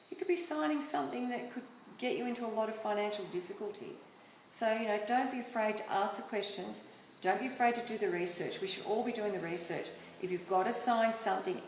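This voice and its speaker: A woman's voice: mid-range voice, reverberant, slight background noise, low-quality recording. A woman hosting a casual interview, chatting freely and leaning on fillers like "like" and "you know". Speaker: talk show host.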